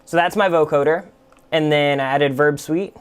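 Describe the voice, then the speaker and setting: A man with a somewhat high-set voice walks through a software tutorial. He talks informally and off the cuff, explaining each step with lots of loose, conversational phrasing. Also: steady tone, normal speed, music in the background. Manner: informal, explanatory, full of "like" and "kind of". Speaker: music production tutorial host. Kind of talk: casual, unscripted software tutorial.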